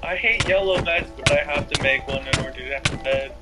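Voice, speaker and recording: A woman's voice: low-pitched, fairly quick, loud music behind the voice. A woman in casual gaming chat, talking in a slangy, sweary, teasing way. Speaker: player in a Minecraft roleplay group.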